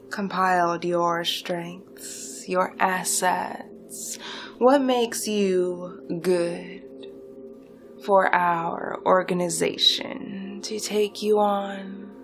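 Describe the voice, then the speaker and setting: A woman playing a seductive, smugly delighted supervillain recruiter in a theatrical hypnosis roleplay, with deliberate phrasing that often dips toward whispers. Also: fairly low pitch. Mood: coaxing, delighted. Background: music.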